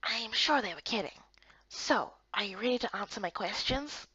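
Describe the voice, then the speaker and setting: A woman acts out a gentle male official in a fantasy game. He speaks softly and politely, often close to a hush, with patient reassurance. The sound is close and dry, with a dull lo-fi edge. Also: mid-range voice, lively intonation, normal speed, breathy edge, no room sound. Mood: patient, reassuring.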